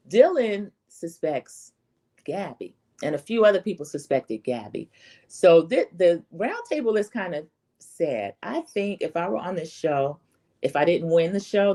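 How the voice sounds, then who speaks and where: A woman's voice: low voice, mellow. A woman with a slightly scratchy voice giving a casual, chatty, opinionated recap as the host of a reality TV commentary channel. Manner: opinionated, rambling storytelling with acted-out quotes.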